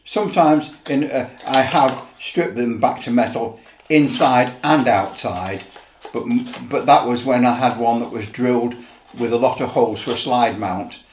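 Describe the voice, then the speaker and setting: Man, 70s, informal hobby demonstrator, deliberate and halting, mid-pitched, roomy sound with some noise. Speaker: presenter of a hobby how-to video. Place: indoor office room. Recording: roomy echo, background noise and clicks.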